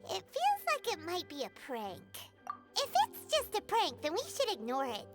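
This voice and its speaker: A tiny, excitable fairy sidekick with an extremely high, squeaky, childlike voice. The pitch swoops about as she explains things in a bossy, knowing way. It is video game dialogue with prominent music behind it. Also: moderate pace, full character voice. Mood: wary. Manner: speaks of self in third person, explains knowingly.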